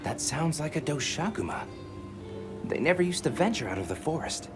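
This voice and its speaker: A performer playing a gentle, hospitable villager in a video game, explaining earnestly with music behind. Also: mid-range voice, sing-song, normal speed. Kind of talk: video game cutscene acting.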